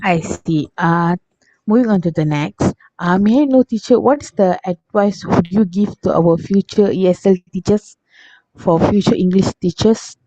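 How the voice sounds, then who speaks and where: Young woman student interviewer, mellow. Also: medium pitch, no echo, highs cut off. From Malaysia. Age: young adult. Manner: polite, halting questions.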